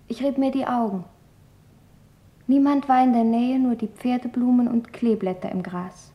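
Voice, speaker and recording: An actress voicing an earnest, thoughtful young girl who recounts wartime fear in a deliberate radio play reading. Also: on the high side, dark tone, lightly acted. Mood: reflective, calm. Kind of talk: dramatic literary reading in German radio play.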